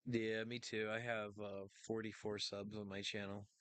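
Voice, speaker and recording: A man co-hosting a live stream in relaxed, slangy chat. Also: on the low side, moderate pace, no room sound. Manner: laid-back, slangy, says bro and man. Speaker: co-host.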